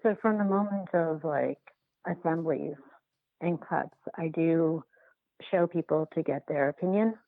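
A woman being interviewed as a documentary filmmaker, talking casually and haltingly, repeating and rephrasing as she thinks aloud, over a remote call. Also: deep voice, no room sound. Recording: phone-like narrow-band line.

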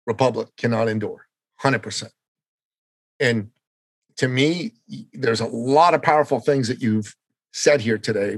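A man's voice: mid-range voice, no echo. A man from Canada hosting a political podcast, speaking with passion and repeating phrases for emphasis. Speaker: podcast host. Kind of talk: impassioned political podcast talk.

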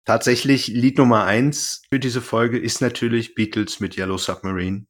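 A man's voice: mid-range voice, fairly even intonation, normal speed. A man hosting a podcast, chatting casually as he recaps a story. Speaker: podcast host. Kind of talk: casual podcast chat, recapping an audio drama.

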